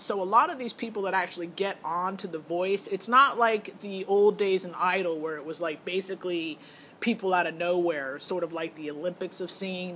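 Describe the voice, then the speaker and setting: A woman's voice: lowish voice, a bit bright, slight background noise. A middle-aged woman giving a casual, rambling review as a parent, thinking aloud in a hesitant, matter-of-fact way. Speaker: parent vlogger reviewing a singing show audition.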